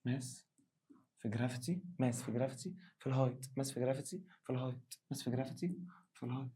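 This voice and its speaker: A male science teacher with an Egyptian Arabic accent, hoarse, giving an animated exam revision lesson and acting out students' questions. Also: medium pitch, rapid-fire.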